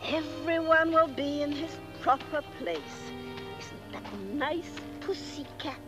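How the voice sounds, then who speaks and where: An actress playing a scheming, murderous woman in a campy horror-comedy film, cooing sweetly and mockingly, shifting between hushed and shrill. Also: takes it slowly, loud music behind the voice. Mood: smug, dreamy.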